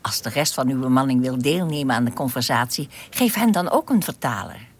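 A woman's voice: extremely low pitch, animated, a little fast, husky, heavily acted. A woman playing a friendly, self-assured young alien spacewoman in a radio drama, polite and easily amused. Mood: calm, reassuring.

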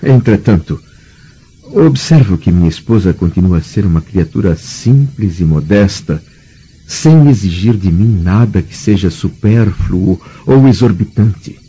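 Proud anguished roman noble in a radio play, brazilian accent, really husky low voice, muffled recording. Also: male voice, expressive, unhurried, dark tone, heavily acted. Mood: troubled, reflective. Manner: formal, theatrical, anguished. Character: troubled proud Roman nobleman, middle-aged, estranged husband. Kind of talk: radio drama acting.

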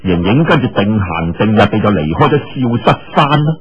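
A man's voice: on the low side, big pitch swings, moderate pace, breathy edge, soft background music. A man with a Cantonese accent telling a martial arts tale in a colloquial, dramatic oral storytelling style. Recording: dull, lo-fi audio.

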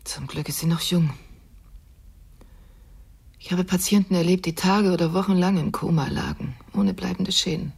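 A composed, somewhat stern widow in her forties in a radio drama. Her voice is rather low and very husky, with a restrained, sober delivery. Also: moderate pace, long pauses, darkish tone, exaggerated voice. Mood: subdued, composed. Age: 40s. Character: stern, composed middle-aged widow and mother-in-law.

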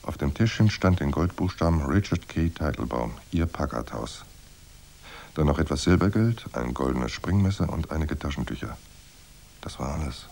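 An actor playing a hard-boiled private detective in a noir radio drama, dry, laconic and sardonic. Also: male voice, a bass voice, lively intonation, moderate pace, creaky, darkish tone, full character voice. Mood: dry, wry. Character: hard-boiled male private detective narrating, wry and unflappable. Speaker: narrator and lead actor.

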